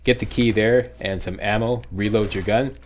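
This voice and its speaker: A man's voice: on the low side, fairly even intonation, normal speed, a little hiss or hum. A Canadian man giving informal, instructional commentary over a video game walkthrough. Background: game sound.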